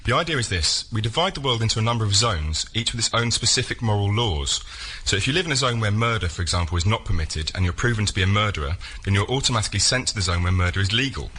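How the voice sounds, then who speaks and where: Fast, low-voiced deadpan man. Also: slightly rough. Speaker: member of the public pitching an idea. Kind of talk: reading a prepared pitch, then answering.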